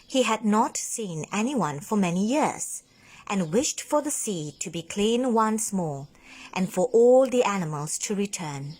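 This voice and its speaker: A woman reads a bedtime story like a drama teacher, with deliberate, dramatic emphasis. Her pace is slow and her intonation very animated, in a soft-edged, breathy voice. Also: medium pitch. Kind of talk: expressive children's bedtime story narration.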